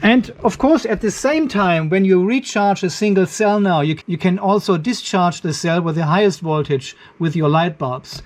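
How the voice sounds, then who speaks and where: A man, hosting a hands-on workshop demo and explaining each step while he works in a cluttered garage workshop, filmed on a handheld camera held close. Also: sits high. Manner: explains step by step while working, thinking aloud. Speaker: channel host.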